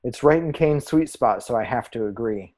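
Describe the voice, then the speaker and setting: Casting: actor as measured theatre pundit, male, dark relaxed voice, analytical, easy scripted banter. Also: medium pitch, lightly acted. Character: measured, analytical co-host giving casting odds. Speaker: co-host of a theatre prediction show. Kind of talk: scripted podcast banter and commentary.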